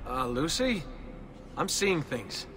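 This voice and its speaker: A man's voice: a little high, big pitch swings, takes it slowly, background noise. An actor playing a wary, casual young everyman in a video game cutscene. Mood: uneasy.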